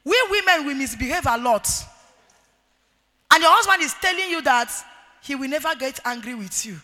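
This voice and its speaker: Loud Nigerian woman preaching in an echoey hall. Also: sits high, lively intonation, normal speed. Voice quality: loud, forceful voice. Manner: emphatic, repeats key phrases for effect. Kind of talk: sermon, marriage teaching. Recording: echoey hall with long reverb.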